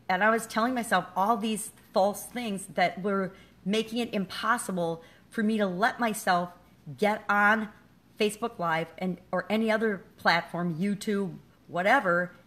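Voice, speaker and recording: A woman's voice: mid-range voice, fairly bright tone. Middle-aged woman, business-coach vlogger, candid and self-deprecating, conversational. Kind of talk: casual motivational talk to camera.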